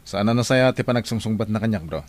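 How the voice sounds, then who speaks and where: A man's voice: fairly low pitch, animated, fast, close to an everyday voice. A man playing a jealous, touchy boss in a radio drama, asking sulky questions. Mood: brooding.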